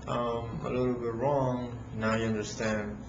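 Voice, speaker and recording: A man with a Latin American Spanish accent, a native Spanish speaker, giving a casual how-to talk at his home computer, halting with many fillers and restarts. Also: medium pitch, little pitch movement, reverberant, dull audio, noisy background. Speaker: real estate investor vlogger.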